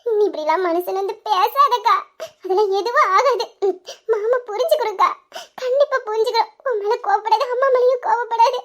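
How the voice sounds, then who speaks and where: A woman's voice: very high-pitched, a little fast, fairly bright tone. A woman voicing a caring younger sister who coaxes and comforts her older sibling. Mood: comforting. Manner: soothing, coaxing. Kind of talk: dramatized audio story.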